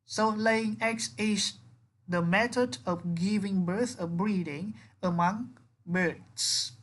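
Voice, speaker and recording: A woman's voice: mid-range voice, takes it slowly. A woman English teacher giving an explanatory vocabulary lesson, instructive, often repeating words and rephrasing them with synonyms.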